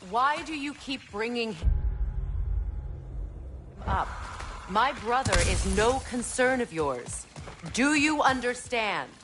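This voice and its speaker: An actress playing a proud, stern, exiled goddess in a video game, speaking formally with clipped, commanding authority. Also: female voice, on the high side, very animated, takes it slowly, pauses often, noisy background. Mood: defiant, angry. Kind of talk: video game character acting. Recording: loud game music behind.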